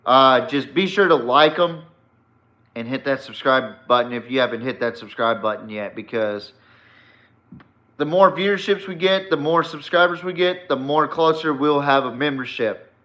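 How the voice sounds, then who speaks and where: A man with a mid-pitched voice talks like a sports podcast host sharing strong opinions. His delivery is casual but emphatic and blunt, with free swearing. The recording sounds dull and lo-fi. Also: moderate pace, sharp tone. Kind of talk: casual, opinionated solo football commentary.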